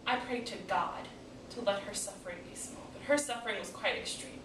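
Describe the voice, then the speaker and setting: A woman performing a dramatic stage monologue as a grieving daughter who bitterly questions God, with deliberate pauses. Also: mid-range voice, lightly acted. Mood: hushed grief. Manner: deliberate, dramatic pauses, swelling from hushed to pleading. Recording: echoey room, distant mic, background noise.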